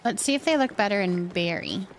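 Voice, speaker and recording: A woman chatting casually on a livestream as host, musing indecisively out loud while she decorates. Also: medium pitch, animated, fairly quick, slight background noise, rough recording.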